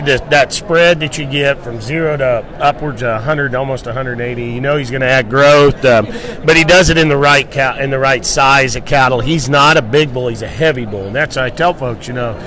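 A man's voice: medium pitch, fairly quick, few pauses, pushed and bright. A middle-aged man hosting a casual promotional livestock talk into a handheld microphone. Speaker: livestock show host. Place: indoor convention hall booth.

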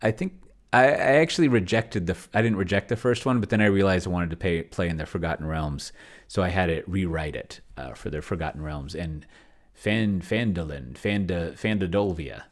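Middle-aged man, low pitch, unscripted video host, thinking aloud, rambling, close desk mic. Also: moderate pace. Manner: rambling, trailing off mid-thought. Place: indoor room at home, desk setup. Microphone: desk microphone close to the mouth.

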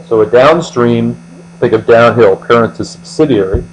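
A man's voice: mid-range voice, normal speed, rough recording. An American man working methodically through an explanatory lecture as an accounting tutor, step by step. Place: indoors, voice-over on screen recording. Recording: steady mains hum.

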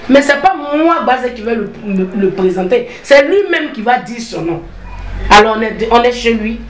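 A woman hosting a casual interview on a veranda, talking in a lively, teasing, loud way. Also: on the high side, normal speed, roomy sound, far from the mic. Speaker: interviewer host. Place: outdoor veranda.

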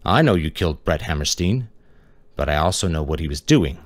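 A man doing a deep, calm big detective. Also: no echo. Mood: reassuring. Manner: calm, unhurried, assured. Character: huge older male detective, deep and calm.

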